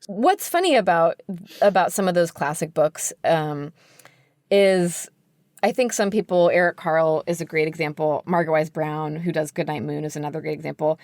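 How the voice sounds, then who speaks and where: Woman podcast guest, lowish voice, super dry sound, explaining stuff casually. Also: moderate pace, darkish tone, dry recording. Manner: informal, peppering speech with "like". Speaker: interview guest, book editor.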